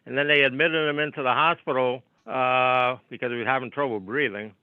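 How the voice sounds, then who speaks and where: A man's voice: medium pitch, fairly even intonation, a little fast, clean tone, dry recording. A man in his seventies calling in to a casual chat, talking in a chatty, agreeable way. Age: 70s. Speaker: caller. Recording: dry, muffled lo-fi line.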